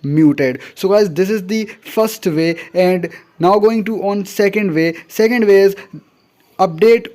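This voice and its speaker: A man with a noticeably high voice hosts an instructional video. He reads scripted steps one after another, announcing each in a repetitive, formulaic pattern. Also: measured pace, a little mellow. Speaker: tutorial video host. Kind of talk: scripted step-by-step tutorial narration.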